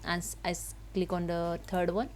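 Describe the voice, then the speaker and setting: A woman giving a step-by-step software tutorial in halting, simple non-native English, with noise behind her. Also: on the low side, completely flat delivery, a crowd in the background. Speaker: tutorial host. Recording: noticeable background noise.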